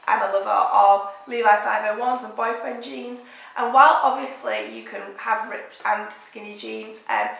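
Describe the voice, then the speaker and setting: A woman's voice: a little high, vocal fry, fairly bright tone. A young woman with a head cold, chatting casually to camera about fashion with lots of "um" and "like". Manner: chatty, with frequent "um" and "like". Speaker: fashion vlogger. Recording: echoey room.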